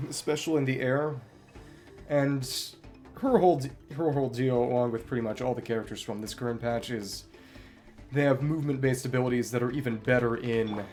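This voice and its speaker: A man's voice: medium pitch, darkish tone. A man giving casual gameplay commentary as a streamer, explaining mechanics in a wordy, understated way over game music. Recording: music behind the voice.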